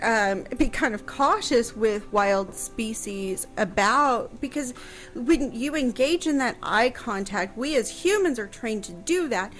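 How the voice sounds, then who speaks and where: A woman explaining things conversationally on a radio show, thoughtful and pausing mid-phrase as she goes. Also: on the high side, animated, a bit bright, faint music behind. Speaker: radio guest answering history questions.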